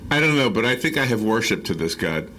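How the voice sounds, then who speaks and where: A man hosts a casual radio chat with a bright, forceful voice. His pitch swings widely and he is jovial and quick to laugh. There is faint noise behind him. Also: sing-song. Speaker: radio host. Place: radio studio.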